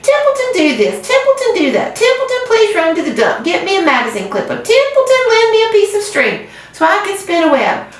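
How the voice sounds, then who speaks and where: Woman putting on a squeaky sarcastic rat. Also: huge pitch swings, a little fast, hardly any pauses, heavily acted. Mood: sarcastic grumbling. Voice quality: whiny, mocking. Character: grumbling selfish male rat, sarcastic. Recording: very echoey room, distant mic.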